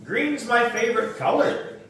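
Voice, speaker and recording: A man voicing an excitable young schoolgirl in a storybook, exclaiming in an emphatic, childish way. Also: very high voice, a little slow, heavy reverb, far from the mic, a little hiss or hum, voice pushed up, low-quality recording. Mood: chirpy. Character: excitable young schoolgirl, easily delighted or grossed out.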